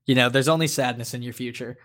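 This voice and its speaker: A man's voice: mid-range voice, fairly even intonation, quick pace, fairly bright tone. A man co-hosting a podcast, talking in a casual, conversational way about a cartoon. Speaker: podcast co-host.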